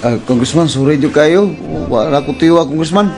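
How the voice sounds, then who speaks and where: A man plays a polite, apologetic character in a Philippine radio drama. His voice is on the high side, with a Cebuano Filipino accent. Music plays clearly underneath. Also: moderate pace, lightly acted.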